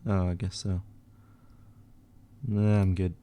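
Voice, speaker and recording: A young man from Sweden, his voice a bit low, chats offhandedly on a livestream while working at his computer. He mumbles, trails off mid-thought and swears quietly under his breath. Keyboard typing and mouse clicks come through behind him. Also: little pitch movement, slow. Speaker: livestream host answering chat questions. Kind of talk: casual livestream chat while editing images.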